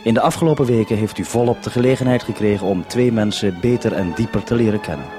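Quick-talking male radio host. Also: lowish voice, over music. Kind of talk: radio presenting.

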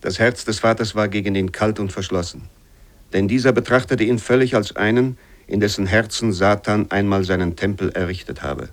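A man reading literary prose aloud as scripted narration, with measured, formal phrasing. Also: lowish voice, steady tone, no room sound. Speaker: literary reader.

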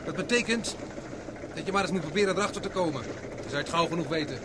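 A man's voice: a little high, quick pace, lightly acted. An actor playing a curt, self-assured young man, a former bomber pilot, in a radio play. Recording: boat engine noise.

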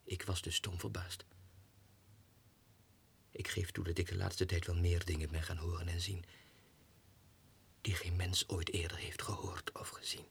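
An actor playing an unhinged, excitable low clerk who mutters haltingly to himself in a 1960s radio monologue. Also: male voice, gravelly, slight background noise. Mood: brooding.

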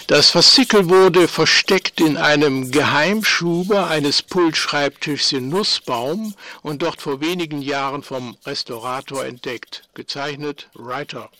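An actor playing a matter-of-fact archivist narrator in a radio drama, presenting documents in a precise, factual manner. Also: male voice, fairly high pitch, a little slow, hardly any pauses, sharp tone, no echo. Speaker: announcer of documents.

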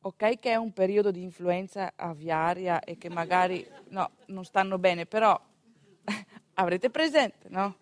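An actress playing an animated village storyteller in a theatrical monologue, talking to the audience conversationally with dramatic pauses. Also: female voice, lowish voice, no room sound, close to an everyday voice. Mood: playful, confiding. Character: animated female village storyteller recounting a home birth.

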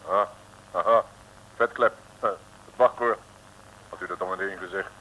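A low, surly, curt doorman. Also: fairly even intonation, normal speed, bright, forceful tone. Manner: terse, dismissive. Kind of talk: old radio serial acting. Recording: noisy, crackly old radio recording.

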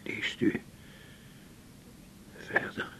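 An actor playing a frail, gentle dying patient in a radio drama, speaking haltingly in a breathy, fading, pleading voice. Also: male voice, in a whisper. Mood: drowsy, fading. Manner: halting, slow, softly pleading. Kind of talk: naturalistic 1980s radio drama.

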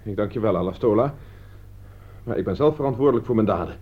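A man's voice: on the low side, lively intonation, husky. A man acting an earnest, remorseful murder suspect who insists on taking the blame in a Dutch radio play. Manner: hurried, earnest, confessing. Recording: dull, lo-fi radio play recording.

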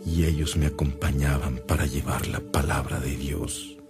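An older actor playing a tender, fervent old priest in a radio drama, solemn, fatherly and measured. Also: rumbling low, steady tone. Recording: loud music behind the voice.

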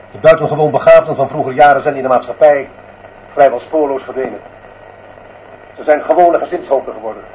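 A man acting as a severe, dictatorial school headmaster in a radio drama. He delivers stern, measured pronouncements with unbending authority and self-importance. His voice sits at a middling male pitch. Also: darkish tone, lo-fi sound, slight background noise, close to an everyday voice. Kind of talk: Dutch radio play acting.

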